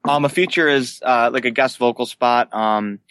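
A man's voice: medium pitch, moderate pace, a bit bright, clean tone, no room sound. A man in his late twenties, a band vocalist, answering casually as an interview guest. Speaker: guest, band vocalist who sings and screams.

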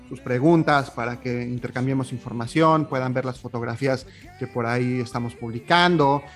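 A young man from Mexico with a Mexican Spanish accent, hosting a solo podcast in a chatty way, with a lot of "eh, pues". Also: medium pitch. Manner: chatty, warm-hearted, with filler "eh, pues". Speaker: podcast host.

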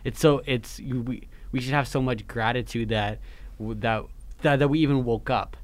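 A man's voice: medium pitch, steady tone, moderate pace. A young man co-hosting a faith podcast, talking in a rambling way with many restarts and fillers. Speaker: podcast co-host. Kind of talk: casual faith podcast chat.

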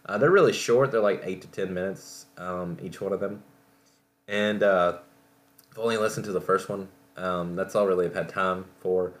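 A man hosting a podcast in casual solo talk, rambling and halting as he often corrects himself. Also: sits low. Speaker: podcast host.

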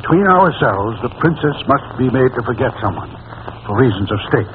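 A man's voice: mid-range voice, big pitch swings, brisk, lightly acted. Pompous, scheming prime minister, older man, played by an actor, hesitant courtly delivery, vintage radio comedy with heavy noise. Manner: pompous, hesitant, repeating himself. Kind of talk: 1940s radio comedy acting. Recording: noisy, tinny old-time radio recording.

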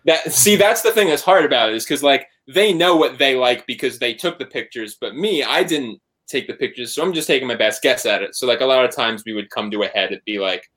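A man's voice: medium pitch, a little fast, few pauses, a bit bright. A man being interviewed on a podcast, talking casually and rambling with lots of "like" and some swearing. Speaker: podcast guest, band member and photographer.

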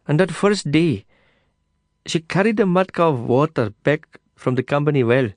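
A man doing a small, good-hearted Indian taxi driver in an audiobook, speaking Indian English with a sing-song, childlike earnestness. The voice sits high and bright above the narrator's, recorded close and dry with no room sound. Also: no room sound, natural voice.

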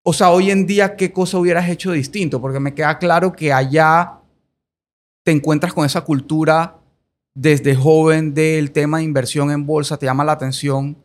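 Slightly high-voiced Colombian-born host. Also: male voice, fairly even intonation, normal speed. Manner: rambling, self-correcting questions. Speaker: podcast host. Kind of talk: casual podcast interview.

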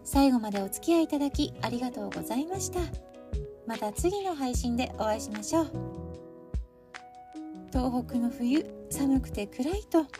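High-voiced middle-aged woman podcaster over music. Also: expressive, normal speed, long pauses. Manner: polite, conversational, addresses listeners directly. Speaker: podcast host introducing picture books. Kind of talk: chatty solo podcast talk.

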